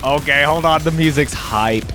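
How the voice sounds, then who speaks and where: A man's voice: fairly high pitch, normal speed, pushed and bright, soft background music. A man giving casual, enthusiastic reaction commentary as a video creator, chatty and informal.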